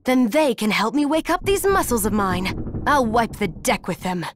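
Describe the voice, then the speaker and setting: Earnest, higher-pitched young heroine. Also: female voice, very animated, normal speed, a little hiss or hum. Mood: confident, determined. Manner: earnest, resolute. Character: young woman fighter, earnest, determined, burdened by crystal curse. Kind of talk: dramatic video game voice acting.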